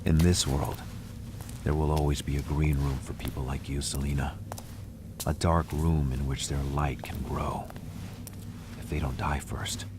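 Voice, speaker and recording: A brooding, haunted narrator speaks in an extremely deep, flat monotone. His delivery is slow and somber, now and then sinking to a whisper. Clear ambient noise sits behind the voice. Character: brooding, haunted man lost in a dark mansion. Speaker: game protagonist narrator. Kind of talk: scripted game narration.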